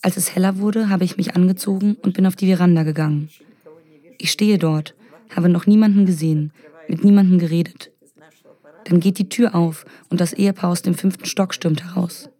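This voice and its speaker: A woman speaking as the voice-over translation of an interviewee in a documentary, in a quiet, steady, matter-of-fact way. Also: fairly low pitch, brisk, natural voice.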